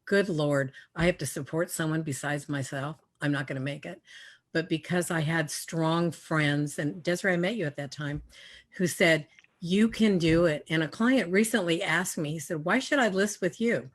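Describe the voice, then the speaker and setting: A woman's voice: low-pitched, moderate pace. A woman telling a personal story on a panel video call, earnest and laughing at herself. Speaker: auctioneer and realtor.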